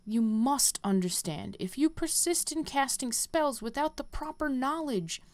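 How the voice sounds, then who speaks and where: A woman voicing a solemn, stern male guild master from game quest dialogue, with measured, formal, admonishing delivery. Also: high voice, animated, darkish tone, no echo, pitched above the performer's own voice.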